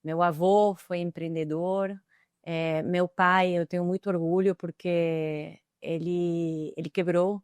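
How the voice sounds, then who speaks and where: A middle-aged woman with an Argentine accent, speaking as a guest in an interview with a smooth, resonant voice pitched fairly low for a woman. She answers thoughtfully and often pauses on hesitant fillers. The recording is close and dry. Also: level tone, darkish tone, no room sound. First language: Spanish. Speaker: guest, tech executive.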